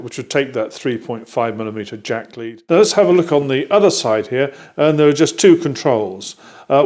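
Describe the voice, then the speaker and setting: A British man hosting a workshop video, explaining a product to camera in a measured, hesitant way with frequent ums. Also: mid-range voice, darkish tone.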